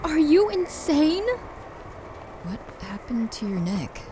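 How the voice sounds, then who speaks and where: A woman acting out a young woman lost in a haunted maze, her voice rising and falling widely through wary questions and frightened cries. She speaks slowly, and a clear layer of background noise runs under the radio-play recording. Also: high voice, sing-song, full character voice. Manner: wary and frightened, quick exclamations.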